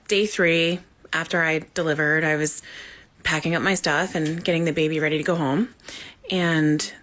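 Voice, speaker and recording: A woman with a lowish voice tells a personal story at a measured, even pace. She speaks steadily as she recalls it. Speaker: nurse. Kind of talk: casual storytelling to camera.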